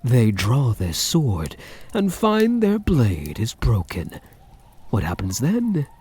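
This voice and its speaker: Guy doing a possessive vampire count for asmr roleplay, soft and husky, teasing, voice swoops up and down a lot. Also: medium pitch, wildly swooping pitch, exaggerated voice. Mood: musing, taunting. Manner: teasing, deliberate, intimate, menacing. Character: possessive, seductive male vampire count toying with captive.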